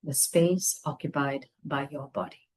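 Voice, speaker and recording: A woman leading a guided meditation, speaking gently in unhurried phrases with long pauses. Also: rumbling low, steady tone, slightly rough. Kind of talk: guided meditation, slow soothing instruction.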